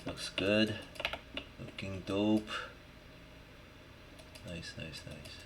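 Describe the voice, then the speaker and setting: A man with an extremely low voice talks slowly through a 3D modeling tutorial. He thinks aloud in short, muttered, slangy fragments while he works. Clear background noise runs behind him, with typing and mouse clicks. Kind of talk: casual screen-recorded tutorial, thinking aloud while working.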